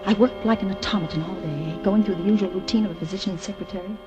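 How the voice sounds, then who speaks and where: A woman playing an anxious, defensive secretary who lives alone, in old-time radio mystery drama, with fretful, halting, dramatic delivery. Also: medium pitch, dull audio, lightly acted. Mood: tense, brooding. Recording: vintage radio drama with music.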